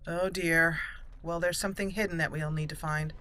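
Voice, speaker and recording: A woman hosting a roleplay game playthrough, commenting and reading aloud deliberately as she thinks. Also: fairly low pitch, fairly even intonation, sharp tone, a little hiss or hum. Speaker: let's play host. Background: game music.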